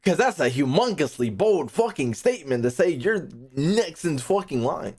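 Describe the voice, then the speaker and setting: A man's voice: fairly high pitch, very animated, moderate pace, darkish tone. Slangy, emphatic man reacting casually. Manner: slangy, emphatic, repeats phrases, swears. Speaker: streamer reacting to game voice lines.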